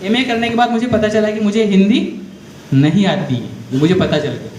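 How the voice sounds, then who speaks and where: High-voiced man lecturing in echoey room. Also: moderate pace, a little mellow. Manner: addresses the audience with frequent direct questions; storytelling, explains step by step. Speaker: career guidance speaker to school students. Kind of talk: motivational talk to a class. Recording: echoey room, faint background noise.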